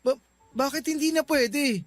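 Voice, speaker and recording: A man's voice: extremely high pitch, measured pace, faint music behind. A man playing an earnest young boyfriend in a radio drama, pleading and puzzled. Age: young adult.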